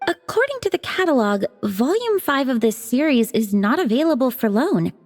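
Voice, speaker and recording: A woman's voice: high voice, sing-song, normal speed. A woman voicing a fussy, by-the-book librarian in a video game, prim and rule-bound. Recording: echoey game audio with music.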